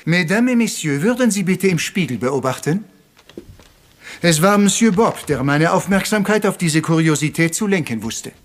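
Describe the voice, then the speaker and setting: Ceremonious little detective, higher-pitched voice. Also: male voice, expressive, slight background noise. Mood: measured, revealing. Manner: formal, deliberate revelations sprinkled with French phrases. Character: shrewd, ceremonious little Belgian detective, theatrical and stern. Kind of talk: 1980s-style radio crime drama acting.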